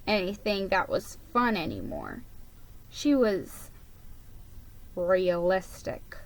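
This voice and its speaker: A woman reading a children's story aloud as a dramatic reading, with expressive, emphatic storytelling. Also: medium pitch, rough recording. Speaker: storybook reader.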